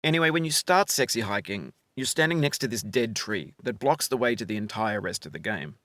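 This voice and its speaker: A man reads a reflective, essay-like narration in a measured and articulate way. His pitch is mid-range and moves with lively variation. The sound is very dry, with no room to it. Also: dry recording. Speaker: game developer narrating his own game.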